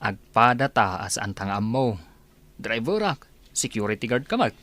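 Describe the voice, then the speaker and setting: A man's voice: medium pitch, big pitch swings, normal speed, fairly bright tone. A man playing a gossipy, bantering driver for a rich household in a conversational audio drama. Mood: musing.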